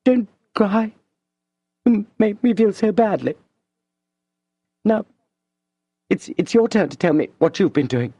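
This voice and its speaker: A man reading an audiobook and voicing a tender, tearful woman who pleads softly and sounds anxious. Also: sits high, expressive, takes it slowly, pauses often, breathy edge, no room sound, voice pushed up, full character voice. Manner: soft, pleading, emotional delivery. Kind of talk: audiobook reading of a classic novel.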